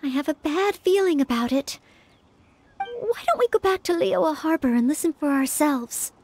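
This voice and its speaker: A woman's voice: high voice, lively intonation, normal speed, a little mellow, a little hiss or hum. A woman voicing an earnest, modest young maid knight in a game, polite and a little hesitant. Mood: uneasy. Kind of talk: video game character acting. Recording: background music under voice.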